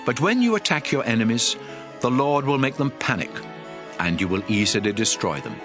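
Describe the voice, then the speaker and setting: A stern, fervent prophet played by a man proclaims scripture to his people. His mid-pitched delivery rises and falls dramatically, solemn and emphatic, over a clear bed of music. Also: lively intonation, moderate pace, lightly acted. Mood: urgent, assuring. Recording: music under the voice.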